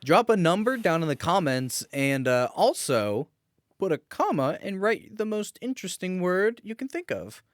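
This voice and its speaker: A man co-hosting a podcast in casual, teasing banter with a friend, speaking in an animated and sometimes profane way. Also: sits high, moderate pace, no echo, studio quality. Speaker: podcast co-host.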